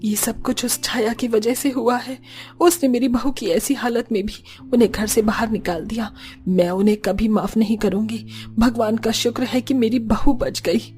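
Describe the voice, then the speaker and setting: A woman's voice: a little high, steady tone, normal speed, dark tone, faint music behind, natural voice. Woman playing an earnest, long-suffering older mother-in-law. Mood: bitter, stern. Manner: earnest, lamenting.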